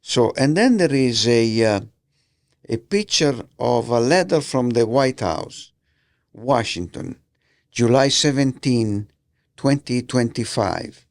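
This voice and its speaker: Gravelly, slow-talking Italian man. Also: medium pitch, lively intonation, dry recording. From Italy. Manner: halting, slips and rereads words, many fillers. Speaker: podcast host. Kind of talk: casual solo podcast, reading newspapers aloud. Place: podcast studio. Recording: dry close studio sound.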